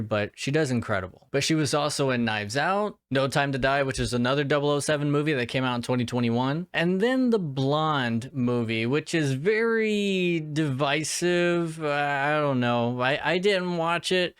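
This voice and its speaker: A man's voice: medium pitch, clear, resonant tone, no echo, studio quality. A young man hosting a solo podcast, gushing with enthusiasm and swearing casually as he reviews films. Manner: enthusiastic, gushing, profane asides. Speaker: podcast host.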